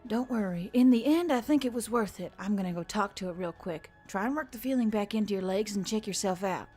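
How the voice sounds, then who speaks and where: A woman's voice: medium pitch, expressive, brisk, warm tone, natural voice. A woman voicing a brash, mocking action heroine in a narrated story, with music behind her. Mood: reassuring. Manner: mocking, foul-mouthed, cocky. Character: brash, sarcastic, swaggering action heroine named Emily.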